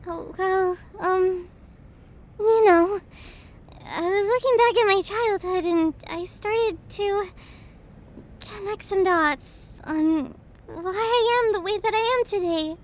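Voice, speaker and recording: A woman acting a distraught young female best friend, very high voice, slow halting delivery, tearful, self-deprecating, intimate audio roleplay. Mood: regretful, hesitant.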